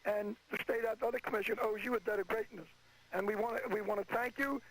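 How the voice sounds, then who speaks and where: A man's voice: sits high, fast, a bit bright, slight background noise. A man calling a radio show as an official, speaking in an indignant, blustery, emphatic way over the telephone. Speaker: state athletic commissioner calling in. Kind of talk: phone-in radio rant. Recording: telephone call on old radio.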